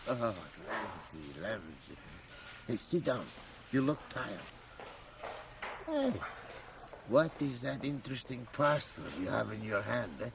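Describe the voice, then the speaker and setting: A creaky, mischievous ancient priest. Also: male voice, medium pitch, very animated, full character voice. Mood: sly, wheedling. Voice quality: creaky, gravelly aged voice. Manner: sly, chuckling, hushed asides, dramatic pauses. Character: very old, mischievous, learned Jesuit priest, over a hundred. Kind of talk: radio drama acting. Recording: faint hiss, home cassette radio transfer.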